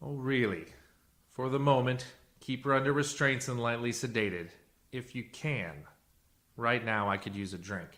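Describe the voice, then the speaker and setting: A man's voice: medium pitch, fairly even intonation, moderate pace, a bit bright, natural voice. A man acting in a radio play as a blunt, self-assured civilian interrogator and psychologist who talks in a dry, cutting way. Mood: cool, assertive. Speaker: actor.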